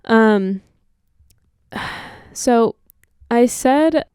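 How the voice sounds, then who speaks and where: A woman co-hosting a music review show in a college radio studio. Her voice is mellow and smooth, and her takes are blunt and opinionated, peppered with "like". The mic is close and dry. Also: a little high, animated, extremely slow, no echo. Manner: opinionated, reads from her notes, lots of "like". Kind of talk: casual music review chat.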